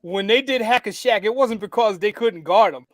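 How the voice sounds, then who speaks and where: A man co-hosting a casual sports debate, animated, often repeating himself as he makes his point. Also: high-pitched, moderate pace, noisy background. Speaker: podcast co-host.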